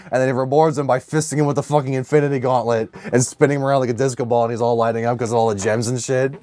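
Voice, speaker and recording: Male podcast co-host, casual riffing, crude and giggly, average pitch, very dry recording. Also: steady tone, rapid-fire, mellow, dry recording. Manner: crude, sweary, laughs often while riffing. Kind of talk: casual comedic banter.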